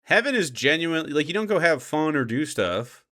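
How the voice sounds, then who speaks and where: A man's voice: fairly high pitch, sing-song, fairly quick, bright, forceful tone. A man doing casual live reaction commentary as a streamer, argumentative, sarcastic and given to swearing.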